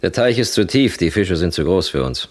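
Tiefer, herrischer Polizeichef. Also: male voice, sits low, sing-song, a little fast. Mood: stern, dismissive. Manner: brusque, patronising. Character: cautious, authoritative male police chief. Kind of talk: German radio crime drama acting.

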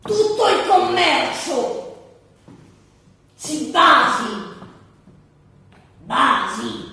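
An actress playing a pedantic economist in a satirical stage lecture, didactic, halting and emphatic. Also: female voice, sits high, big pitch swings, gravelly, pushed and bright, full character voice. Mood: pedantic, insistent. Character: pedantic female economist lecturing on wage formulas. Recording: very echoey hall, distant mic.

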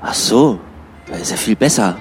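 A man's voice: lowish voice, animated, normal speed, faint music behind, lightly acted. A man playing a naive, easily indignant welfare recipient in casual, questioning radio play dialogue. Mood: naive, musing.

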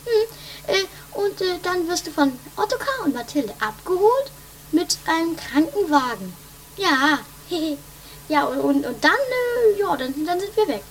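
A woman's voice: sing-song, a little slow, full character voice. A woman playing a bossy, flustered female schemer who stammers through an improvised comedy radio play. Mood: pushy, scheming. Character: Doris, bossy, scatterbrained schemer, a squeaky dramatic woman. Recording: noisy low-budget 1980s radio play recording.

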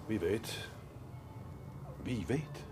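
A middle-aged actor playing a shrewd, stubborn senior police detective in a radio drama, speaking drily and deliberately with gentle sarcasm. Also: male voice, fairly low pitch, animated, noisy background. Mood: pensive, firm. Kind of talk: Dutch radio drama acting.

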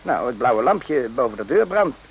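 An actor in a radio play voicing a brooding, rambling soldier who muses haltingly and repeats himself. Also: male voice, medium pitch, sing-song, husky, highs cut off, heavily acted. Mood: chatty, defensive. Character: brooding, rambling soldier, former circus night watchman.

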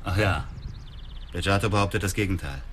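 A menacing blackmailer in a radio crime play, with a low-pitched voice. He bosses people about with cold, coarse sneers, over slightly noisy ambience. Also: brisk, a bit bright. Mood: cold, domineering. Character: menacing, vengeful male blackmailer, coldly cruel. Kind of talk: naturalistic German radio drama acting.

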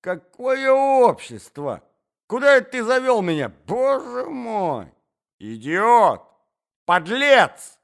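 A man voicing a furious, scheming provincial hostess who shouts and hisses. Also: on the high side, steady tone, takes it slowly, few pauses, pitched above the performer's own voice. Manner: shouting, hissing.

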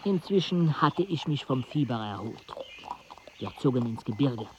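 Actor as weary, measured travel companion, slightly muffled. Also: male voice, mid-range voice, expressive, slight background noise, close to an everyday voice. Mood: reflective narration. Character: weary travel companion of an explorer, narrating wryly. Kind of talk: radio play narration and dialogue.

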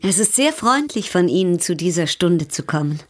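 A woman's voice: lowish voice, big pitch swings, normal speed, faint music behind. A woman playing a coquettish, ironic baroness in a German radio drama, poised and teasing.

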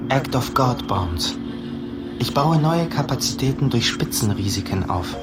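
A man's voice: mid-range voice, lively intonation, measured pace, heavy background noise, close to an everyday voice. A man playing a coolly self-assured, analytical investment strategist in a scripted radio art monologue. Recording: voice under loud music.

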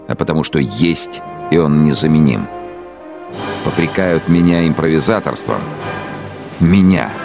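An actor playing a brooding, arrogant, fanatical middle-aged dictator in a radio drama monologue, speaking in pompous, scornful, emphatic phrases. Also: male voice, extremely low pitch, creaky, full character voice. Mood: grandiose, menacing, then indignant, fanatical. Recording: loud music behind the voice. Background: music.

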